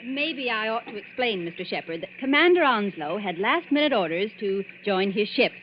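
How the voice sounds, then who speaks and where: An actress playing a courteous, composed and efficient air hostess in 1940s radio thriller style. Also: female voice, medium pitch, very animated, moderate pace, close to an everyday voice. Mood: polite, instructing. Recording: noisy, muffled 1940s radio recording.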